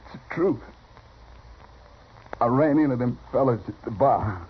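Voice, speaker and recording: Meek wounded cowboy, halting slow delivery, humble, old radio western, muffled sound. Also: male voice, mid-range voice, breathy edge. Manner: halting, plain-spoken, humble. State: weak, badly hurt. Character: poor, meek, dying cowboy longing for respect. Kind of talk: 1950s radio western acting.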